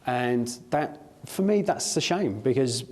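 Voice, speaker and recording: A man's voice: mid-range voice, lively intonation, measured pace, a little mellow. A man, a parliamentary official, explaining with earnest enthusiasm in a documentary interview. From not New Zealand.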